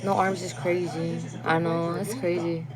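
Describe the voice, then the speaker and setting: A woman's voice: fairly low pitch, level tone, a little slow, over loud music, rough recording. A young woman chatting casually on a phone livestream; English is not her first language, and she laughs often and sometimes stumbles over words. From Guatemalan family.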